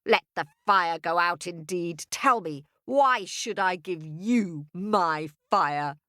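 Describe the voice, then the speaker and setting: A woman voicing a menacing old witch with a long bony nose, speaking slowly and drawn out. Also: on the low side, sharp tone, no echo, studio quality. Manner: slow, drawn-out, sinister.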